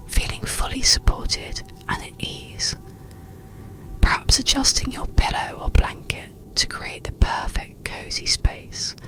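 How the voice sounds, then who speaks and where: A storyteller whispers a bedtime tale in an ASMR style, slow and gentle. The voice is almost without tone, very low and heavily crackled at the edges. The delivery is soothing and made for guiding a listener into rest. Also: creaky. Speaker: storyteller and guided relaxation narrator.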